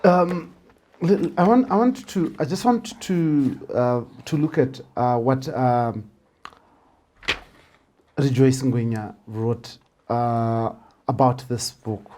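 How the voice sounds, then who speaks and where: A man hosting a conversational interview, haltingly repeating the openings of his phrases. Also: mid-range voice, mellow, slight background noise.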